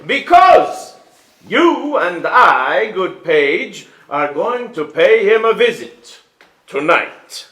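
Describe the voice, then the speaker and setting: A man's voice: sits high, very animated, extremely slow, sharp tone, exaggerated voice. A man playing a jovial, restless king in a staged musical reading, speaking in a grand, formal, theatrical manner in a roomy live recording. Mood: amused, commanding. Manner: theatrical, grand, formal old-fashioned phrasing. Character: jovial, restless king, fond of his bumbling young page.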